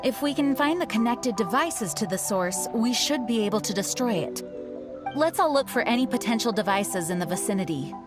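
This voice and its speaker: A composed, caring young knight commander played by a woman with a fairly high voice. She delivers video game dialogue with music clearly audible behind her. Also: lively intonation, normal speed, natural voice. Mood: composed, focused.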